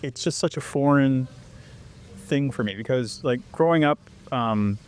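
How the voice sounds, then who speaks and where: Man, lively storytelling guest. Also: mid-range voice, a little slow, clear, resonant tone. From Canada. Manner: animated storyteller who acts out quoted exchanges. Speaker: interview guest, foreigner living abroad. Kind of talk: casual interview chat.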